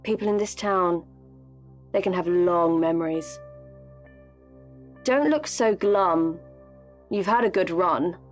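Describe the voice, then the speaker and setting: A woman's voice: on the low side, takes it slowly, long pauses, faint music behind. A woman acting in a radio play as a shrewd political operative from a rival party, knowing and pointed, leading with rhetorical questions. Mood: condescending, menacing.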